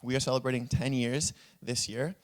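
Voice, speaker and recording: A man from Puerto Rico speaks at a conference panel, laying out his points one by one. His tone is earnest and explanatory, and his voice sits at a middle pitch. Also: steady tone, moderate pace. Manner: earnest, explanatory, lists examples.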